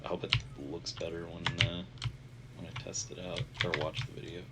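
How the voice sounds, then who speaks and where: A man with a deep, stuffy, nasal voice talks loosely over a game, trailing off and filling pauses as he goes. He sounds a bit worn out. Steady keyboard and mouse clicks come through clearly behind him on the headset mic. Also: heavy background noise. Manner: rambling, many filler sounds, self-deprecating. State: congested, nasal; tired. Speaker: gaming channel host. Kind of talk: casual gameplay commentary. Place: home bedroom. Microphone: gaming headset.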